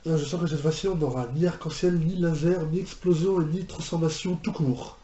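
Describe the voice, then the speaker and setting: A man giving casual reaction commentary as a video host, halting and often restarting his phrases. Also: a little high, fairly even intonation, lo-fi sound, music in the background. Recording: roomy home recording with background noise.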